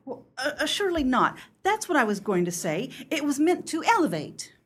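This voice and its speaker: A woman's voice: high voice, very animated, moderate pace. A woman acting in a radio play as a timid, flustered club lady, nervous and eager to please. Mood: anxious, agreeable. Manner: nervous, hesitant, deferential.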